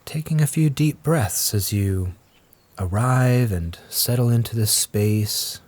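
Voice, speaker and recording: A man leads a guided meditation as the teacher, speaking gently and in a measured way with long pauses. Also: mid-range voice. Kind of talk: guided meditation, slow scripted instruction.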